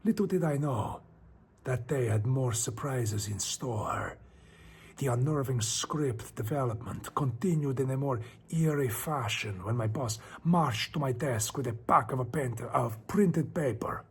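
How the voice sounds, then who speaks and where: A man voicing an anxious, brooding Spanish narrator of a horror story, theatrical and often dropping to hushed tones, with a Spanish accent. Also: medium pitch, animated, measured pace, a little hiss or hum. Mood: brooding, uneasy. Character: anxious, self-questioning Spanish man narrating his descent into madness.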